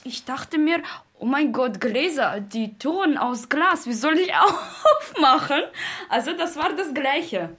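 A young woman from Uzbekistan with a fairly high voice tells things from memory in a language that is not her first. Her delivery is slow and halting, with pauses to search for words. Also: a bit bright. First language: not German. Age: 20s. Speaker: interviewee retelling a film. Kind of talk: unscripted spoken retelling.

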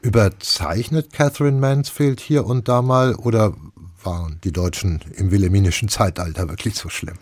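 A man's voice: lowish voice, normal speed, darkish tone, no room sound. A man hosting a radio book programme, presenting reviews and putting questions to a critic. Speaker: radio host.

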